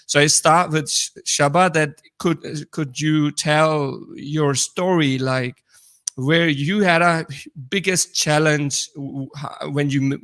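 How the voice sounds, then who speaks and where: A man with a Pakistani accent speaks as a podcast co-host in a fairly high, very bright voice. English is his second language, and his conversational delivery is halting, with words often restarted. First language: not English. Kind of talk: conversational podcast talk about immigrant experiences.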